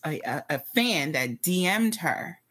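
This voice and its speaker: A woman's voice: low-pitched, lively intonation, measured pace, fairly bright tone. A woman co-hosting a casual pop culture chat, candid and a bit hesitant, with many fillers. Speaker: podcast co-host.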